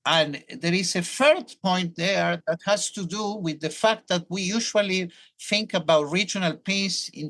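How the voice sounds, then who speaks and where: A man's voice: sits high, a bit bright. A man in his sixties, born in Argentina, who speaks English with a Hebrew accent and explains his research at length in an academic interview. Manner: thoughtful, explanatory, with restarts. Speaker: professor, guest.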